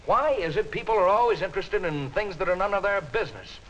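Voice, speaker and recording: Patronizing middle-aged American department head, 1950s film style, very lively intonation, hissy dated soundtrack. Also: male voice, high voice, quick pace, dull audio. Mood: irritated, scolding. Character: exasperated male accounting department boss, brusque and patronizing. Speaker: actor in workplace instructional film. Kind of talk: 1950s instructional film acting.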